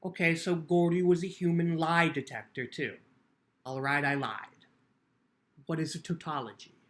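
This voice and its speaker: A man's voice: on the high side, fairly even intonation, moderate pace, a bit bright, close to an everyday voice. A man playing an earnest, lonely teenage boy who tells his own story in plainspoken, cheeky words. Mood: curious, cheeky. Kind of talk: first-person dramatic book reading.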